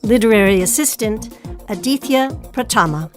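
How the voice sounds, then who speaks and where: A woman's voice: on the high side, lively intonation, moderate pace, soft background music. A woman hosting a radio program, speaking in a scripted presenter style. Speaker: host. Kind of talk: radio interview and scripted credits.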